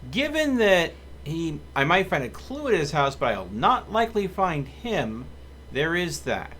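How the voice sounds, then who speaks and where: Male game streamer, mid-pitched and expressive, thinking aloud at a measured pace in casual commentary. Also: a little hiss or hum. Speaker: video game livestreamer. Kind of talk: casual live gameplay commentary.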